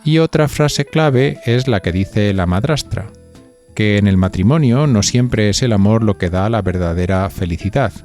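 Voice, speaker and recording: A man's voice: lowish voice, expressive, normal speed, a little mellow, faint music behind. A man with an accent from Spain hosting a podcast, reading scripted narration and putting conversational questions to listeners. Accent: Spanish from Spain. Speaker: podcast host.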